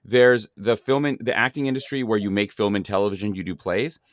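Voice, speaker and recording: Canadian male podcast host; conversational, lowish voice, dry recording. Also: a little fast, no echo. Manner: conversational, asks long questions. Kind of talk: casual interview.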